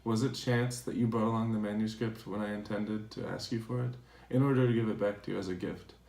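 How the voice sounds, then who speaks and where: A man playing a devoted philosopher reading his tender love letters aloud in a measured, earnest dramatization. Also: on the low side, steady tone, creaky, a little mellow. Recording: echoey room, distant mic, faint noise.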